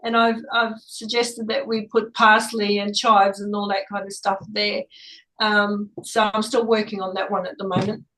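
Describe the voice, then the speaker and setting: A woman's voice: medium pitch, level tone, moderate pace, a bit bright, rough recording. A woman from Germany, a native German speaker, chatting casually and tentatively in a group discussion as she thinks aloud. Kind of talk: casual reflective chat.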